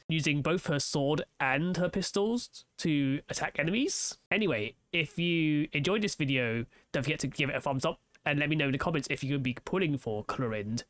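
A man's voice: mid-range voice, dry recording. A young man hosting a casual reaction video, commenting in an informal, slangy way. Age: young adult. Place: small room indoors. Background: loud trailer music.

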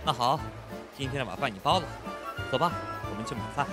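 A man's voice: medium pitch, lively intonation, quick pace, close to an everyday voice. A man playing a brash, carefree young spendthrift in an audio drama. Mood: casual. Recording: loud background music.

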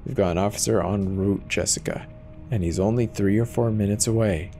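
A low-pitched male emergency dispatcher , played by a man, speaks in a steady, reassuring way. It is a horror audio drama performance, with faint music beneath the voice. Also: brisk, close to an everyday voice. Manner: calm, reassuring, soft-spoken urging.

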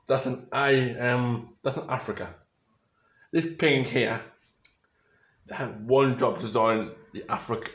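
A man's voice: medium pitch, roomy sound. A young man rambling casually through a reaction vlog, often restarting and correcting himself, recorded on a close webcam in a small bedroom. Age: young adult. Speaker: YouTuber reacting to photos. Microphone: webcam or phone camera at close range.

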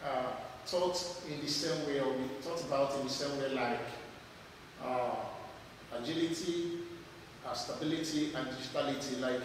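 A man's voice: medium pitch, background noise. Hesitant young man asking from the audience. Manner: hesitant, halting, many fillers. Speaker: audience member asking a question. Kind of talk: audience question. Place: large lecture room. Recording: echoey hall from far off.

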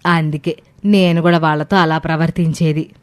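A woman acts out a domineering older mother-in-law in a moral tale. She is resentful and insistent, scolding in a slightly low voice. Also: steady tone, moderate pace, natural voice. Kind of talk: dramatised family moral story.

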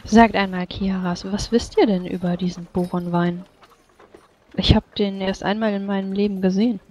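A woman's voice: mid-range voice, fairly even intonation, moderate pace, darkish tone. A woman playing a tabletop role-playing game, improvising talk in character.

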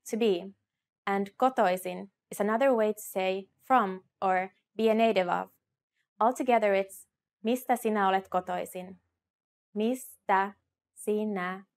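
Young woman teaching phrases slowly. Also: mid-range voice. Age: young adult. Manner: clear, slow model pronunciation of phrases. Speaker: language teacher. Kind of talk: scripted lesson to camera.

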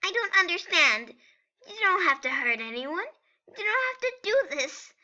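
Casting: excitable, theatrical skeleton brother played by a woman, high, sharp voice, earnest and emphatic, comic dub. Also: normal speed, dull audio, exaggerated voice. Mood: pleading. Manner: dramatic, pleading, emphatic. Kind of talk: amateur comic dub acting.

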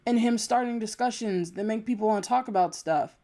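A woman's voice: on the high side, fairly quick, mellow. A young adult woman with a youthful voice, delivering a blunt, emphatic, unscripted commentary rant with frequent swearing. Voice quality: young-sounding voice. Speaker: YouTube commentator.

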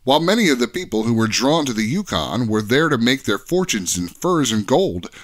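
A man's voice: medium pitch, very animated, brisk. A man giving an informative, scripted-sounding podcast explanation as a guest expert, with an articulate storytelling delivery.

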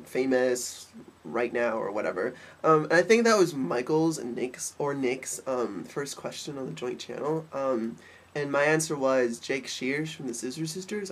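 A man's voice: mid-range voice, lively intonation. A young man with a stuffed, nasal voice, talking in a campy, cheeky way to his webcam in a bedroom. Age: young adult. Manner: campy, cheeky, quick asides. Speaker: vlogger. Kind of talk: casual webcam vlog. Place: bedroom, indoors. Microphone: webcam at desk.

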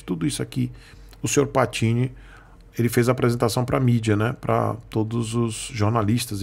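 A man's voice: medium pitch, gravelly. A man, hosting his motorcycle channel and explaining market news in a chatty way, often checking in with his viewers, from a small indoor room at a desk. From Northeast Brazil. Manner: chatty, explanatory, frequent "tá, gente?" asides. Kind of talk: casual market commentary.

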